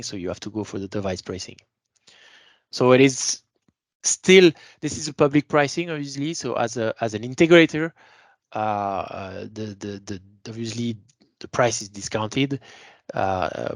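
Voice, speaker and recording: A man's voice: on the low side, no room sound. A man giving an explanatory product webinar as a sales presenter, talking informally and stressing his key words.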